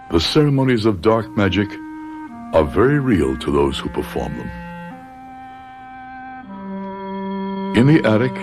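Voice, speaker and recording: Brooding, deep-voiced middle-aged father. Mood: solemn, ominous. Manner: grave, brooding, dramatic. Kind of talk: radio drama acting with first-person narration.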